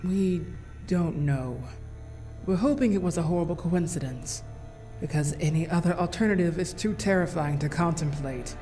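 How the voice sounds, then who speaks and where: Woman acting as a low, mellow, grave woman director. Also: faint music behind, natural voice. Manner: measured, serious, formal. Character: grave, composed woman director of a secret organization. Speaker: voice actor in a comic dub. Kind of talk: scripted character acting.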